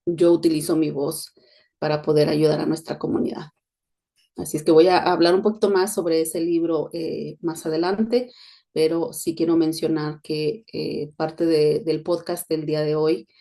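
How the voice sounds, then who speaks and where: A woman's voice: low-pitched, mellow. A middle-aged woman from Mexico, speaking with a neutral Spanish accent as a podcast host in an earnest, reflective monologue. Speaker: podcast host, education consultant.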